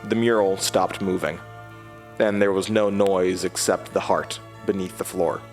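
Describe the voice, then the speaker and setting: An actor playing a haunted man who recounts his journey in first person for a horror audio drama, in halting, measured phrases. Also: deep voice, steady tone, darkish tone. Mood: uneasy, grave. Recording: music bed behind the voice.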